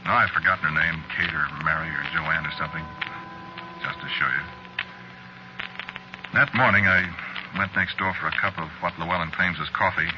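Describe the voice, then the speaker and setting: An actor playing a shifty working-class American store clerk and cool killer, narrating in a folksy, slangy, confiding way in 1940s radio suspense style. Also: male voice, deep voice, fairly even intonation, a little fast, long pauses, close to an everyday voice. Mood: casual, confiding. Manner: folksy, slangy, offhand storytelling. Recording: dull, noisy vintage radio recording.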